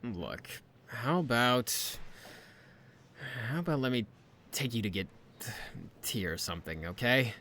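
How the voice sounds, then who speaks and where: A woman's voice: rumbling low, lively intonation, unhurried, pauses often, fairly bright tone, a little hiss or hum, full character voice. A woman acting a studious, easily exasperated but awkwardly kind researcher in an amateur audio drama, stammering and tense. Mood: grudging kindness.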